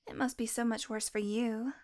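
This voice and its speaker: A woman's voice: on the high side, little pitch movement, normal speed, darkish tone, lightly acted. A woman playing a flirty, sarcastic female vampire passenger in an audio roleplay, with a teasing, wry manner. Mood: playful, teasing. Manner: teasing, wry, casual swearing.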